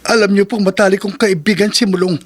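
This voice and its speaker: A man's voice: high voice, fairly even intonation, quick pace. A man playing a brooding, loyal young Filipino aswang, with earnest, emotional horror radio drama delivery. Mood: grieving, indignant.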